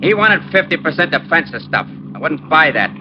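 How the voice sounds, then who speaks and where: An actor playing a cool, menacing killer on the run in an old radio crime drama, curt and threatening. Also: male voice, a little high, very animated, a little fast. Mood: cold, matter-of-fact. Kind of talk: 1940s-50s radio detective acting. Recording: dull, noisy vintage radio, engine sound behind.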